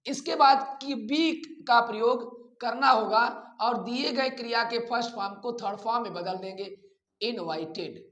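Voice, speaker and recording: Male Indian grammar teacher, unhurried and deliberate, instructing students step by step, roomy echo on the recording. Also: very high voice, fairly bright tone. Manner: deliberate, repeats phrases, addresses students directly. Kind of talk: instructional lesson, explaining step by step. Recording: echoey room.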